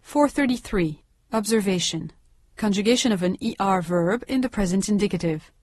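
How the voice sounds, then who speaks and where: A woman reading a language course aloud, with slow, careful and precise enunciation. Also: medium pitch, darkish tone, dry recording. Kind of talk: scripted instructional reading.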